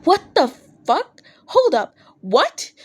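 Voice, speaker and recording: A woman voicing excitable young music fans posting online, exclaiming in disbelief. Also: extremely high pitch, slow pace, pitched above the performer's own voice, exaggerated voice. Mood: shocked. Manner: exclamatory, incredulous.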